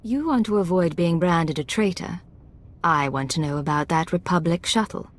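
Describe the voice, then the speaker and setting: Woman as game spy, lowish voice, cool, self-assured, clipped, dry. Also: moderate pace, close to an everyday voice. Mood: cool, probing. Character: cool, self-assured female imperial secret agent. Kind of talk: video game spy acting.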